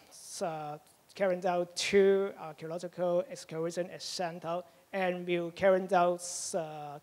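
Male chairperson, high voice, hesitant formal intro, hall reverb. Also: a little mellow. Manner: halting, many fillers. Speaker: society chairperson introducing the speaker. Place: lecture theatre.

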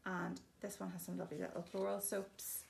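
A woman's voice: on the low side, little pitch movement, a little fast, creaky, slight background noise. A woman giving a chatty, casual demonstration of bathroom products, explaining each one plainly with frequent ums. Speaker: shop presenter showing products.

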